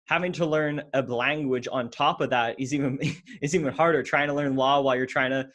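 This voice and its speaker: A young man hosts a business podcast in a casual, eager voice at an ordinary mid pitch. He stumbles often, repeating words and filling gaps with "like" and "uh" as he talks into a podcast mic. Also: fairly even intonation, a little fast, fairly bright tone. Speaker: podcast host. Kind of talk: casual interview hosting. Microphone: podcast microphone in frame.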